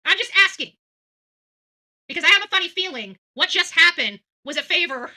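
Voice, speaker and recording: A woman's voice: very high-pitched, lively intonation, fairly quick, pushed and bright. A woman giving unscripted, blunt and accusatory opinion commentary as a vlog host.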